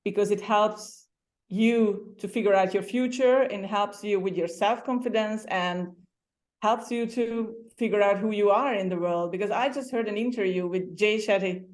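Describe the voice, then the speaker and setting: A woman from Hungary speaks English with a Hungarian accent in a casual, chatty interview. Her voice sits in a middle pitch, and she laughs lightly and now and then restarts a sentence. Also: moderate pace, a little mellow. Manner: chatty, laughs often, some restarts and slips. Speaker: guest.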